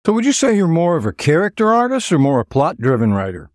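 A man's voice: fairly high pitch, wildly swooping pitch, fast, dry recording, studio quality. A man hosting an author interview show, with polished questions and presenting.

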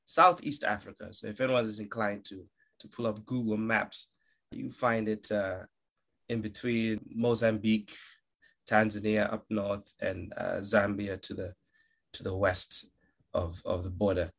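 A man's voice: on the low side, flat delivery, a little slow, gravelly, fairly bright tone. A young man from Malawi, an actor, chatting as a guest in a casual interview, joking, laughing often and restarting his sentences.

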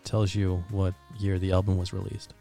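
A man's voice: deep voice, completely flat delivery, normal speed, creaky, warm tone, soft background music. A man hosting a casual podcast in English, a native Dutch speaker, playful and prone to stammering restarts. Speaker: podcast host.

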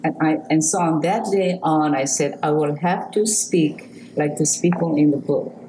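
A woman's voice: sits low, mellow, a little hiss or hum, rough recording. An older woman from Hawaii with a Hawaiian accent, a poet reading her work and telling stories of her childhood in an interview. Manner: slow, measured, reflective storytelling. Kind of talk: poetry reading and interview answers.

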